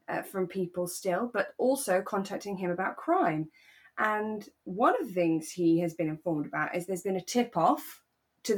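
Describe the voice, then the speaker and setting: A British woman co-hosting a podcast, chatting in a relaxed way about a radio drama. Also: mid-range voice. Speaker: podcast co-host.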